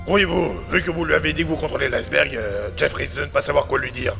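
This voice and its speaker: A man's voice: a little high, quick pace, gravelly. A man playing a nervous, cornered hitman who speaks casually with slang and fillers, with music underneath. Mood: tense. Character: nervous cornered male hitman, young adult. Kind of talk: amateur audio drama acting.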